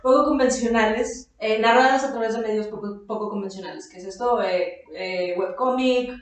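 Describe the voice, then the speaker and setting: A woman's voice: fairly high pitch, moderate pace. A woman talking casually as the co-host of a podcast, recorded in an improvised studio. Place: echoey makeshift home studio, indoors. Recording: very echoey improvised room.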